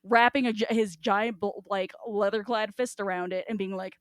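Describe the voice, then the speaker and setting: A woman's voice: medium pitch, steady tone, moderate pace, no room sound. A woman with a mid-range voice talks as a podcast co-host. She is effusive and rambles through her thoughts, swearing freely. The sound is dry, with no room on it. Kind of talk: casual podcast chat.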